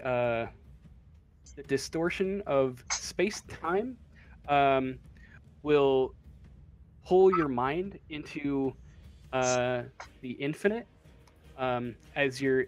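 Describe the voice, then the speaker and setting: Male game master, mid-range voice, unhurried and halting, improvising scene narration casually at the table. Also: medium pitch. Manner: halting, searching for words, casual.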